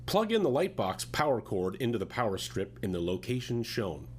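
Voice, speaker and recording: A man's voice: mid-range voice, very animated, normal speed, slight background noise. A man reading scripted step-by-step instructional narration in a methodical, directive way.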